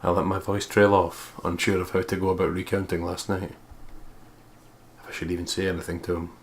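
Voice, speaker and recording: Male let's play narrator, very low voice, reading story prose aloud over noticeable noise. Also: little pitch movement, brisk. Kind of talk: narrating a visual novel aloud.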